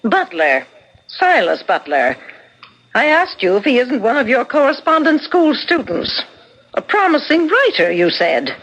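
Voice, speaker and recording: An American actress playing a sharp, bitter, suspicious woman in a 1970s radio drama, in a pointed, dramatic way. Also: sits high, very animated, measured pace, exaggerated voice. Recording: noisy old radio broadcast.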